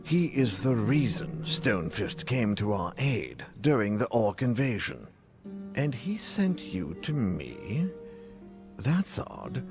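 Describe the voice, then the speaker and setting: A man voicing a formal noble lord of a frontier town in a video game, with a measured, probing manner. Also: lowish voice, sing-song, music in the background. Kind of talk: video game cutscene acting.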